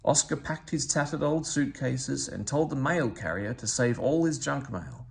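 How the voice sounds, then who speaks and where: Creaky-voiced man reading a children's story. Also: medium pitch, moderate pace. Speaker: storybook reader. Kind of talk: expressive children's read-aloud narration.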